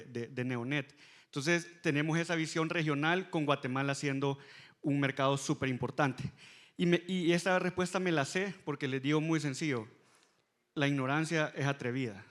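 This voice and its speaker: A Salvadoran man speaking as a fintech panelist on a conference stage, answering frankly and with some self-deprecation. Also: on the high side.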